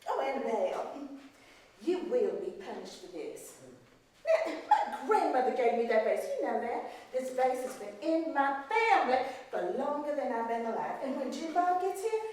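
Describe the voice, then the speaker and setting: A woman's voice: high voice, big pitch swings, normal speed, darkish tone. A woman playing a cruel, haughty plantation mistress in a cold, clipped, menacing way, in a dramatic storytelling performance. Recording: echoey hall, mic far off.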